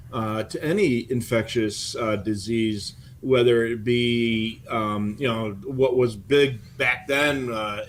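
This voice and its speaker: An older man explaining things in casual, halting conversation as a co-host. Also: medium pitch. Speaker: co-host and former firefighter. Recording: noticeable background noise.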